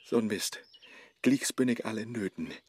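An actor playing a hapless, lovestruck Faust in a comic rhymed radio play, with a Low German accent and theatrical asides. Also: male voice, normal speed, noisy background, close to an everyday voice. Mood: muttering, musing. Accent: Low German, northern Germany. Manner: theatrical, exclaiming, muttering asides. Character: lovestruck, hapless modern Faust chasing a young woman.

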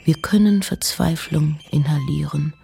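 Low-voiced woman, slow literary reading. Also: vocal fry, warm tone, soft background music. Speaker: reciter of poems and letters. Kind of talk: literary reading of poetry and letters.

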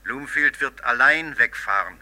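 An actor playing a sly, sinister tipster in a German radio crime drama, measured and conspiratorial. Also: male voice, medium pitch, sharp tone.

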